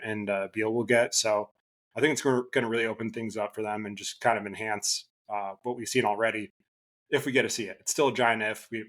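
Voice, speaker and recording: Flat-voiced man losing his voice. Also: on the low side. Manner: halting, many restarts and fillers. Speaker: podcast guest. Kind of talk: casual basketball podcast chat.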